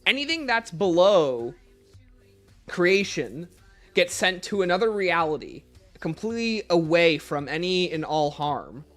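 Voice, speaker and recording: A man's voice: high voice, very animated, a little slow, fairly bright tone, soft background music. A man hosting a livestream, reading out chat questions and answering them informally while thinking aloud. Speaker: livestream host.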